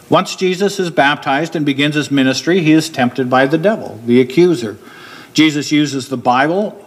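A middle-aged man delivers a sermon at a church pulpit, with his voice taken through a pulpit microphone. He explains his points steadily and slows down deliberately when he wants one to land. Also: mid-range voice. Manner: steady, explanatory preaching, slowing down to stress key points. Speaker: preacher. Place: church hall with a wooden pulpit.

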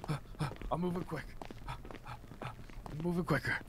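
A man's voice: a little high, a little fast, fairly bright tone, a little hiss or hum, natural voice. A man voicing a nervous male peasant in a game roleplay, pleading and whining. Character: whiny male peasant player with bad knee.

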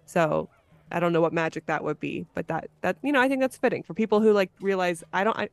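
A woman hosting a podcast in casual chat, informal and quick to laugh. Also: lowish voice, steady tone, dry recording. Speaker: podcast host.

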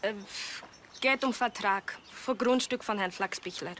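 An actress playing an earnest young Russian student interpreter, with a Russian accent and halting non-native phrasing. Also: female voice, medium pitch, fairly bright tone, slight background noise, lightly acted. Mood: earnest, businesslike. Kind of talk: TV crime comedy acting.

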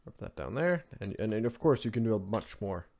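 Male tutorial host from Norway, non-native speaker, lowish voice, informal and rambling screen-recording narration. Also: sing-song, a little fast, a little mellow. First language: Norwegian. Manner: rambling, offhand, informal asides. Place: indoors at a computer, screen recording voice-over.

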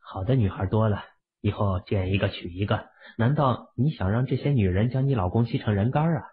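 Guy doing a low husky young husband, cocky and teasing, audiobook drama, tinny phone-like sound. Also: a little fast, close to an everyday voice. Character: shrewd, teasing young husband, self-assured. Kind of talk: acted audiobook dialogue. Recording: phone-like, band-limited audio.

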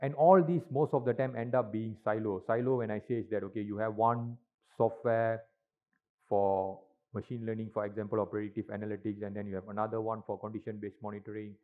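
Guy speaking on a conference stage into a handheld mic, kinda low voice, sounds thin and phone-like. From Malaysia. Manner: filler-heavy, frequent tag questions. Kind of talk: presentation talk. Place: large conference hall stage. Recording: tinny, lo-fi hall recording.

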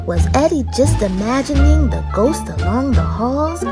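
A young woman hosting a children's read-aloud, narrating in an expressive, deliberate storyteller style. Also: sits high, music in the background.

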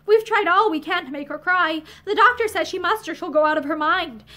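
A woman's voice: extremely high pitch, a little fast, pushed and bright. A woman voicing an earnest, tearful young woman in a story, outspoken, with an emotional, pleading delivery. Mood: tearful distress.

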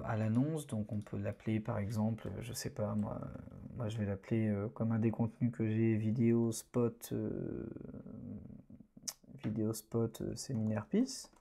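A man talks through a screen-recorded tutorial in a relaxed, hesitant way, with many fillers, as an online course instructor. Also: mid-range voice, measured pace, gravelly.

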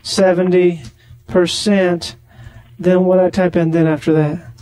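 A man making a deadpan prank phone call, rambling naively and slow to catch on. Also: high voice. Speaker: prank caller on a comedy podcast.

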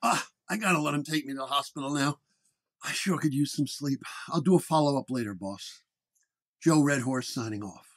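A man's voice: medium pitch, normal speed, a bit bright, lightly acted. An actor playing a weary, wounded 1930s detective who dramatically narrates his case report. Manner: dramatic first-person storytelling. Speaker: narrator-performer.

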